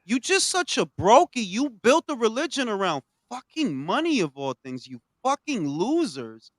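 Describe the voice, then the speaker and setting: A man in heated boxing talk, cursing and ranting with a voice that swoops from low grumbles to high, loud bursts. His tone sits a little high. The sound is close and dry, with no room around it. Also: sing-song, normal speed, no echo. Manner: ranting, profane, swings from low mutters to loud outbursts. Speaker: podcast host.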